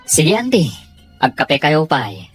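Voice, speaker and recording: A man's voice: on the high side, wildly swooping pitch, slow pace, husky, no echo, soft background music, heavily acted. A Filipino man playing a respectful young nephew in a radio drama, polite and reluctant in manner. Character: hesitant, respectful young nephew guarding a secret. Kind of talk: Ilocano radio drama acting.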